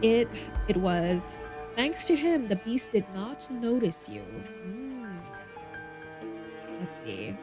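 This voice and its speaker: A woman's voice: mid-range voice, big pitch swings, slow pace, pauses often, over music. A woman hosting a game livestream, expressively reading story text aloud and chatting with viewers in bubbly asides. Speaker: streamer and host.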